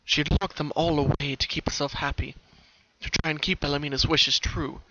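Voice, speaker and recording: A man's voice: a little high, steady tone, a little fast, background noise. A man reading a story aloud as narrator, in a dramatic style. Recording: dull, muffled home recording.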